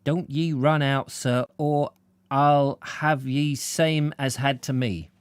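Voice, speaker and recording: A man voicing a sulking rustic village cricketer who speaks haltingly in broad dialect. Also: medium pitch, smooth, no echo. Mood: sullen.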